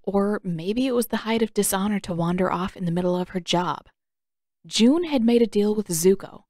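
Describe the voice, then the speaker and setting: A woman's voice: medium pitch, moderate pace, a little mellow, no room sound, studio quality. A woman reading scripted audiobook fiction as the narrator.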